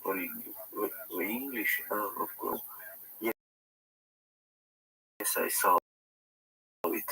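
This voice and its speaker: Slow halting man. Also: low voice, creaky, bright, forceful tone, slightly rough, rough recording. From Serbia (Vojvodina). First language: Hungarian. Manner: halting, repeats words while searching for English. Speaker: guest caller in a livestream chat. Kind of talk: casual chat.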